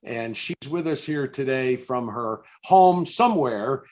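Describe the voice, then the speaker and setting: A middle-aged American man hosting an online event in a casual, chatty, halting way on a video call. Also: medium pitch, expressive, a little mellow. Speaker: event host and interviewer.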